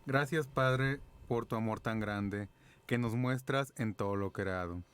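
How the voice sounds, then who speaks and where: A man's voice: lowish voice, a little slow, a little hiss or hum. A man co-hosting a parish program, chatting jokingly and then leading a prayer. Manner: jokey, laughing easily. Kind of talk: casual chat and prayer.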